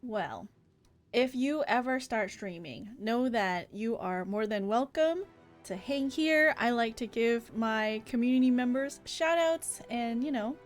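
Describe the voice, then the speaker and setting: A woman livestreaming a game, chatting casually in an animated, informal way. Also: on the high side. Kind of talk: casual chat while streaming a game. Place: indoor livestream setup with game music behind.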